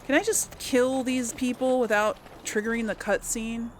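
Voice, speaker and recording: A woman's voice: on the high side, steady tone, normal speed, slight background noise. A woman giving casual video game commentary, thinking aloud in an exasperated way. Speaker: host playing a video game. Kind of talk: casual let's play commentary.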